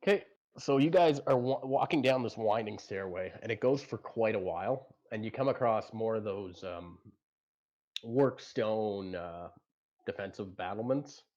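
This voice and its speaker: Man, game master, low voice, halting explanatory narration, tabletop game, muffled lo-fi audio. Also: darkish tone.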